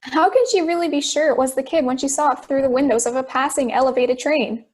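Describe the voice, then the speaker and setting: A woman playing a calm, doubting juror who keeps order, in an amateur audio drama. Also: sits high, quick pace. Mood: questioning.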